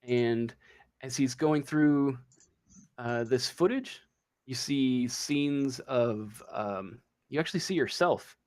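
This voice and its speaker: A man running a tabletop game as game master, improvising the narration haltingly as he thinks aloud. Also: medium pitch, darkish tone.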